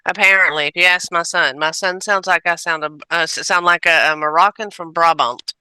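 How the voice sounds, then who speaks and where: A woman's voice: lowish voice, steady tone, brisk, bright, forceful tone, smooth, no echo, low-quality recording. A woman from Georgia with a Southern accent, co-hosting a casual podcast chat over a video call, talking in a chatty, self-mocking drawl. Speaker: co-host.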